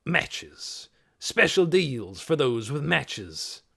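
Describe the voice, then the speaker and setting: A man's voice: a little high, big pitch swings, extremely slow, creaky, slightly rough, voice pushed up, exaggerated voice. Man putting on a blustering, hot-tempered trader. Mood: hawking, boastful. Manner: blustering, shouting accusations. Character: scowling hot-tempered bullying male roamer trader, a father. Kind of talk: audiobook character acting.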